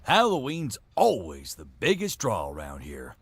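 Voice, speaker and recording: Husky, wildly animated folksy grumbler. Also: male voice, fairly low pitch, measured pace, no room sound, heavily acted. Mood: folksy, proud. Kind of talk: video game character acting.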